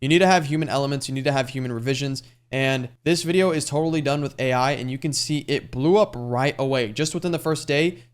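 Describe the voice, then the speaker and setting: A young man coaching on YouTube channels, walking through a screen-share tutorial in a fast, casual, salesy way. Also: medium pitch, clean tone.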